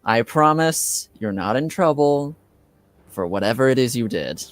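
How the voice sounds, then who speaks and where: A man's voice: medium pitch, animated, unhurried, no echo, close to an everyday voice. A man voicing a polite, apologetic woman who speaks coaxingly in a tabletop roleplaying game.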